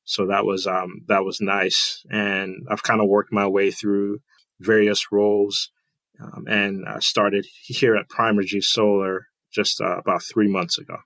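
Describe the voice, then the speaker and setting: Male guest from Trinidad, low and even voice, measured and dry. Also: no echo. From Trinidad and Tobago. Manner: measured, thoughtful, with fillers and restarts. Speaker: guest, finance director. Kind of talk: interview answers.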